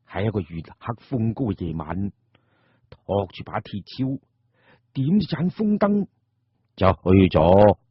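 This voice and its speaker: A male storyteller with a very husky, rough voice narrates radio drama. His delivery is colloquial and dramatic with lively swings in pitch, in a dry recording. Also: no echo, lo-fi sound.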